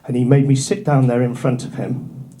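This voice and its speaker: A middle-aged man from North Yorkshire with an English accent, doing a stand-up routine in a comedy club, dropping his punchlines low and dry. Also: level tone, normal speed, low-quality recording. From North Yorkshire, England. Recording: live club with loud crowd laughter. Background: audience laughter.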